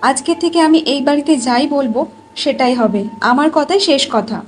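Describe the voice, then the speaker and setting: A woman's voice: high voice, fairly quick, slight background noise, close to an everyday voice. A woman voicing a haughty, bossy, stingy young daughter-in-law in a cartoon, scolding and commanding with contempt.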